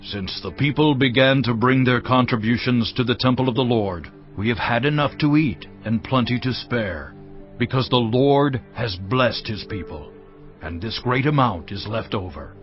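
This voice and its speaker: A man's voice: medium pitch, animated, normal speed, muffled recording, lightly acted. Man acting a formal chief priest over music. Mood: grateful, declaiming. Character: chief priest reporting gladly on plentiful offerings. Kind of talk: dramatised scripture audio acting.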